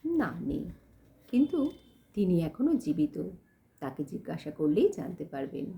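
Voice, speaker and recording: A woman voicing a poor, dignified young village woman who speaks softly and politely in an audio storybook. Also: on the low side, big pitch swings, measured pace, vocal fry, highs cut off, exaggerated voice. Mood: plain, firm. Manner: soft, polite, pleading but composed. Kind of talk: acted dialogue in audio storybook.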